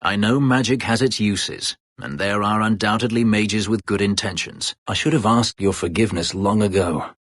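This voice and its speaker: An actor voicing a brooding, bitter elf warrior and escaped slave in a video game, grave, sardonic and measured, with a husky, gravelly voice. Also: male voice, lowish voice, fairly bright tone, no echo, clean studio sound. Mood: subdued, sincere. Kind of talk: video game character acting.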